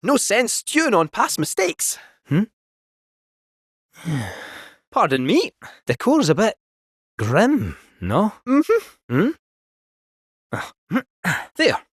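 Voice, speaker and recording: A man's voice: wildly swooping pitch, very slow, long pauses, slightly rough, dry recording, clean studio sound, exaggerated voice. A man voicing a chatty, scheming male robot cat in a game, with a Scottish accent and a jaunty, theatrical delivery. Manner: jaunty, theatrical, swooping pitch. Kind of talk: video game voice acting.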